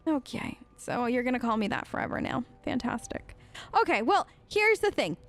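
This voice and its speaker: High-voiced, chatty woman role-playing. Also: very animated, normal speed. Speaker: let's play host role-playing her game character. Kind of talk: improvised in-character game dialogue, casual chat.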